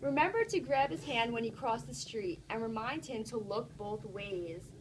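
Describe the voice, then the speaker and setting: A woman's voice: fairly high pitch, normal speed, background noise. A woman teacher reading a storybook aloud to children, encouraging and instructive, stopping to put questions to the class.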